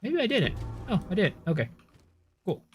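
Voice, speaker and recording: Streamer type, young guy, first language Cantonese, bouncy pitch, relaxed chatty delivery for a game livestream. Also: soft background music. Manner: chatty, relaxed, answers chat with quick asides. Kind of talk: casual game-stream chat with viewers.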